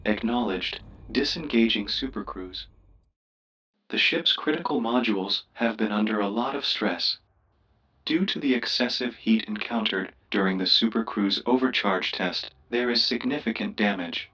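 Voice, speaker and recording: A man voicing a polite, cautious starship AI in a sci-fi game, speaking in a formal, deferential, measured way. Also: on the low side, bright, forceful tone, recorded from a distance, low-quality recording. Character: polite, cautious male ship computer AI, formal and curious. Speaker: ship's computer voice assistant. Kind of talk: scripted sci-fi game voice pack.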